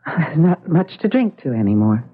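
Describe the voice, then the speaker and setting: An actress playing a subdued, haunted widowed university teacher in an old-time radio drama. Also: female voice, low-pitched, sing-song, moderate pace, slight background noise. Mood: weary, sad. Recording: narrow-band vintage radio recording.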